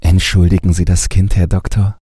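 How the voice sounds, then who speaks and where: A man voicing a polite, fussing governess with an excitable manner in a storybook reading. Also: low-pitched, normal speed, slightly rough, no echo, clean studio sound, pitched above the performer's own voice. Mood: apologetic. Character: polite, fussing young governess, attentive to child.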